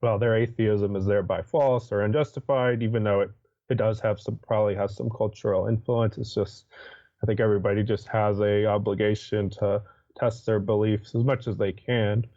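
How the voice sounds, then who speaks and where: A man appearing as a guest in a debate, thinking aloud hesitantly with many pauses and restarts. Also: lowish voice, steady tone, dark tone, dull audio. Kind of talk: casual debate conversation.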